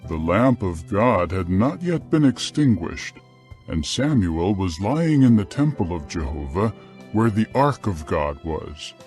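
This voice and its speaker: A man narrating dramatized scripture in a solemn, measured, clearly phrased manner. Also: low-pitched, sing-song, a little mellow, soft background music.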